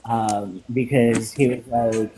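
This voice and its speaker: A man hosts a casual live chat, speaking very slowly with frequent pauses and filler words. His voice is mid-pitched for a man, with a faint noise floor under it. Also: steady tone. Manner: slow, halting, many ums. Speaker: live stream host.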